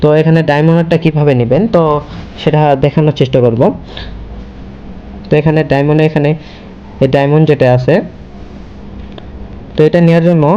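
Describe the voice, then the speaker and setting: A man's voice: fairly high pitch, lo-fi sound, slight background noise. A man hosting a casual step-by-step screen tutorial, linking his sentences repetitively with the same connective word. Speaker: tutorial host.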